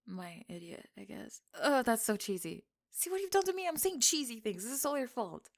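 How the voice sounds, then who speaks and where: A woman playing a young tomboy friend in an intimate ASMR roleplay, halting and flustered, teasing gruffly and often slipping into whispers. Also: a little high, very animated. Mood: flustered, defensive.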